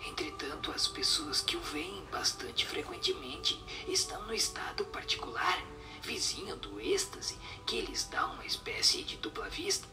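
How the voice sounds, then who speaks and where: An actor voicing a solemn spirit that gives measured, instructive answers in a breathy whisper in a radio drama. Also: mid-range voice, wildly swooping pitch, far from the mic, music in the background.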